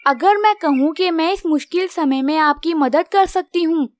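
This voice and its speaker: A small, sensible female cartoon bird , played by a woman, with a very high voice. She talks gently and reassuringly, brave and grateful in temper. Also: normal speed, dry recording, studio quality. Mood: gentle, hopeful. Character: wise, brave, grateful little female tailorbird. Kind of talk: Hindi children's cartoon dialogue.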